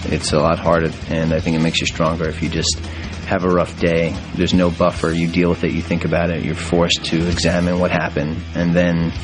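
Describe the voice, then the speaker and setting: A man gives reflective interview talk in a deep voice. His intonation is flat throughout, and music plays clearly underneath. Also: a little fast. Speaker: documentary interviewee.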